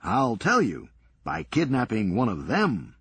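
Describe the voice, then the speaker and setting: A man voicing a cool-headed, reckless ringleader in a crime story, brisk and unbothered. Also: mid-range voice, wildly swooping pitch, creaky, a bit bright, slightly rough, dry recording, voice pushed up, full character voice. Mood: scheming. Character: unflappable, cheerfully reckless male ringleader.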